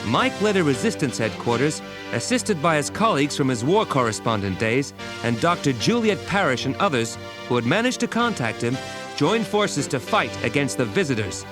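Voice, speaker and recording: Male narrator for dramatic read-along story; expressive range, clear music bed. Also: on the high side, normal speed.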